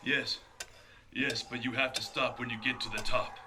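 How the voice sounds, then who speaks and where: An actor playing a taunting, fearless mystery avenger in a radio play, confident and dramatic. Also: male voice, medium pitch, flat delivery, normal speed, background noise, close to an everyday voice.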